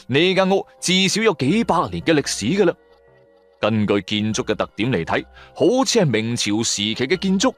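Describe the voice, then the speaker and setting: A man with a Cantonese accent narrating a suspenseful adventure story in the first person, with theatrical, emphatic delivery. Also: medium pitch. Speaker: audiobook narrator.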